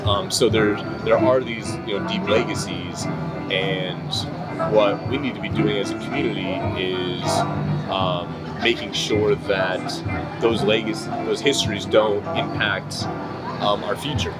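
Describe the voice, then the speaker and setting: A man from upstate New York answering interview questions in a thoughtful, measured way. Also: on the low side, steady tone, loud music behind the voice. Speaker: bookstore collective member.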